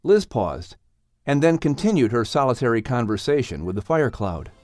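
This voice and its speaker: Lively male storybook narrator. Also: mid-range voice, normal speed, no room sound. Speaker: audiobook narrator. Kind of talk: scripted children's story narration.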